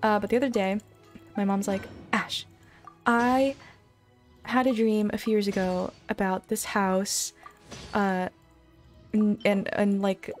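Young female streamer, informal, expressive, rambling chat over gameplay, background game music. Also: medium pitch, takes it slowly, long pauses.

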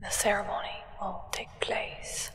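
Casting: reverent gothic acolyte , played by a woman, hushed and very slow, formal diction, for whispered roleplay. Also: long reverb tail, lightly acted. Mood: solemn, reverent. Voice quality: breathy whisper. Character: solemn, devoted human acolyte of a vampire sovereign. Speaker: ASMR roleplay performer.

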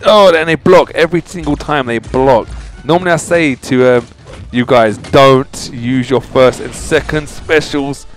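A man with a slightly varied, mid-pitched voice gives casual gaming commentary over game music. He chats informally to his viewers and tends to repeat himself as he plays. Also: a little slow. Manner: informal, chatty, addressing viewers directly. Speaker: gaming channel host. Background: video game music.

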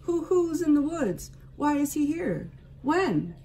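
A woman voicing a curious owl who keeps asking questions in a high, hooting voice. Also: very animated, slow pace, dark tone, a little hiss or hum, full character voice, poor audio. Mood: anxious.

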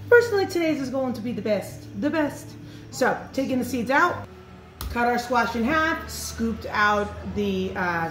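A woman in her thirties, a chef giving an upbeat, chatty cooking demonstration in her home kitchen, filmed by a camera set in front of her. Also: mid-range voice. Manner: upbeat, chatty instructions with frequent fillers. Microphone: camera in front, mid-distance. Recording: roomy kitchen echo with music.

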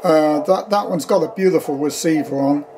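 A man's voice: on the high side, moderate pace, crowd noise. A middle-aged man giving an informal, rambling, matter-of-fact home demonstration as a hobbyist, filming on a handheld camera. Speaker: vintage radio hobbyist presenting own collection. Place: room at home, indoors. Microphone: handheld camera, speaker behind it. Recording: handheld camera audio with clear hum noise. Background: electrical hum from old radio equipment.

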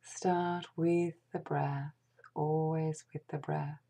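Very slow, hushed, breathy woman guiding meditation. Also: deep voice, level tone. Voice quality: hushed, breathy, partly whispered. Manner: gentle, soothing, deliberate with long pauses. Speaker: meditation guide. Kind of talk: whispered guided meditation.

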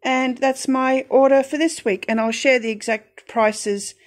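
Woman, Australian accent, middle-aged, slow and calm, slightly high voice, many ums. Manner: calm, unhurried, frequent ums. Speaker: budget grocery vlogger. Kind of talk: casual voice-over narration.

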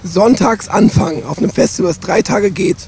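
A hoarse man in his thirties hosting a casual, joking interview on a handheld mic inside a van. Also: high voice, fairly quick, darkish tone, slight background noise. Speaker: host.

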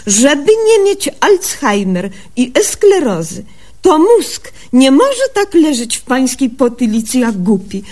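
A woman's voice: sits high, sing-song, slow, mellow, heavily acted. A woman playing a naive, self-assured young country doctor in a comic radio sketch, theatrical and mock-learned, with folksy slips. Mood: confidently explaining.

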